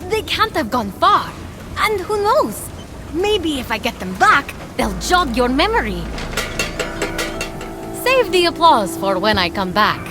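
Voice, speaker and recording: A woman's voice: high-pitched, sing-song, measured pace, pauses often, noisy background. A woman voicing a wry, eager game hero. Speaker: game protagonist. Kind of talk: video game dialogue. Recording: game audio with music underneath.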